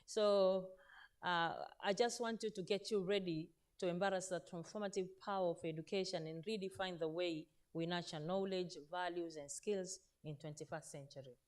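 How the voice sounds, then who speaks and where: A woman in a slightly low voice. She addresses a conference audience earnestly as a veteran teacher, pausing on fillers and correcting herself as she goes. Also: fairly even intonation, darkish tone. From Zanzibar, Tanzania. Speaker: panel speaker, veteran teacher. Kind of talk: conference panel talk. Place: conference stage in Turkey.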